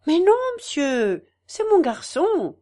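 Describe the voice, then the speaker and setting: A woman voicing a warm, humble young peasant mother with gentle, plain country speech. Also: fairly high pitch, expressive, measured pace. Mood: proud.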